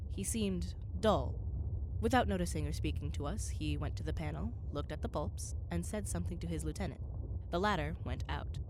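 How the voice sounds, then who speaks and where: A woman narrating a radio play in a deliberate, formal, dramatic storytelling style. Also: sits low, background noise.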